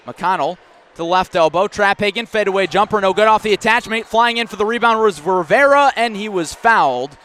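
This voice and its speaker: A man's voice: high voice, animated, brisk, fairly bright tone. A man doing live radio play-by-play of a basketball game in clipped running description that rises on big plays. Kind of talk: live radio sports commentary. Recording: faint gym noise, bouncing balls. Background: basketball game in a gym.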